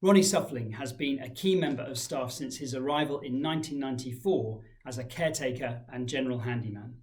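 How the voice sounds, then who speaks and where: A man in his forties, a head teacher giving a formal school assembly address, measured and reading from a folder in a small room. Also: mid-range voice, darkish tone. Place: small indoor room with framed pictures.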